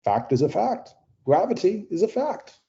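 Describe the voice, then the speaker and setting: A man's voice: high-pitched, very animated, normal speed, creaky, warm tone, lo-fi sound, full character voice. An actor playing a cold, dismissive male technology advocate in an audio play, blunt and insistent. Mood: dismissive, certain. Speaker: debater.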